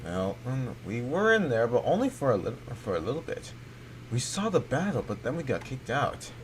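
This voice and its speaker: A man improvising replies as he plays a video game, halting and repeating his phrases. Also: medium pitch, sing-song, slight background noise. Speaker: game streamer. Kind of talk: improvised reactions talking along with a game. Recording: game music behind the voice.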